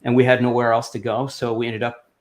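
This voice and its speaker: A man's voice: medium pitch, level tone, fast. A man in his fifties, originally from Lebanon, talks through his life story as an interview guest. He rambles a bit, stacking fillers and restarting his sentences, and he sounds like he is on a video call.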